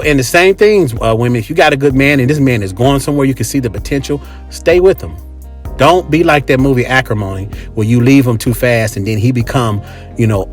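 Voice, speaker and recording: An American man hosting a podcast, giving a casual, opinionated monologue in an emphatic, repetitive, slangy way. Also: on the low side. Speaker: podcast host.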